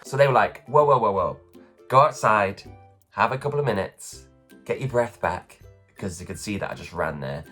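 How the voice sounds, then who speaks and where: Man, very deep worn voice, chatty, self-mocking, faint music in the background. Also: animated, normal speed, fairly bright tone. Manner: chatty, self-deprecating, acts out others' lines. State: low, worn voice after a late night. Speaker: vlogger, performer. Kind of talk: casual morning storytelling. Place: at home.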